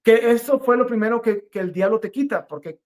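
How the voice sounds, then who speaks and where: Middle-aged man, personal faith testimony, earnest spontaneous speech, halting delivery, emphatic repeated phrases. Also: very high voice. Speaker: guest giving a religious testimony.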